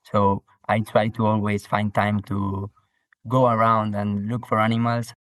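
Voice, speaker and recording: A teenage boy from northern Spain introduces himself on a video call. His voice sits lowish, and he pauses thoughtfully between phrases. The sound is dry. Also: monotone, a bit bright, no echo. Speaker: podcast guest. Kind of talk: casual chat.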